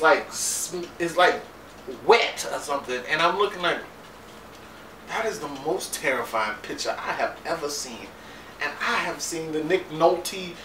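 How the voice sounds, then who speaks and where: Animated, laughing man in an echoey room. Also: on the high side. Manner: animated, incredulous, laughing, profane. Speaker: vlogger recapping a TV episode. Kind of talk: casual comedic recap. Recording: roomy, distant mic, faint background noise.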